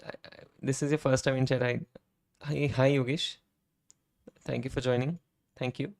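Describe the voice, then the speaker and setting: Hoarse guy on a coding stream, gravelly low-key voice, keeps saying right?, keyboard clicking behind. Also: flat delivery, moderate pace, a little mellow. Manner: conversational, frequent "right?" tag questions, throat clearing. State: hoarse, voice almost gone. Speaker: streamer and software engineer. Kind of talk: casual live-stream coding talk. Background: keyboard typing and clicks.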